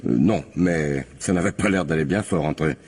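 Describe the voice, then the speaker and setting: An actor playing an aloof, sardonic film assistant under questioning in a French radio crime drama, guarded and dryly disdainful. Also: male voice, extremely low pitch, moderate pace, a little mellow, husky, full character voice. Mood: hesitant, guarded. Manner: hesitant recall, dry disdain.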